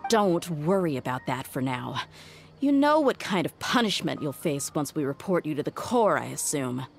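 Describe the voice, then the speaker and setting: No-nonsense mercenary, lowish voice, bossy and blunt, soft music under it. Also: female voice, big pitch swings, moderate pace, gravelly, exaggerated voice. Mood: stern. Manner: blunt, commanding, threatening. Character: stern tough woman mercenary, impatient. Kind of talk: game cutscene voice acting.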